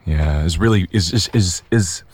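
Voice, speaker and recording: An enthusiastic man talks eagerly about theater, tripping over repeated words before his thoughts land. His voice is low, and the recording is close and dry. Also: moderate pace, husky, no room sound. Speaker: theater company member guest. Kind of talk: casual interview talk.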